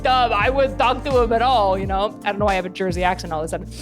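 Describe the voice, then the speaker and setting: A young woman streamer chatting casually as she reacts to clips, animated and laughing, swearing now and then and mimicking people. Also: mid-range voice, very fast, over music.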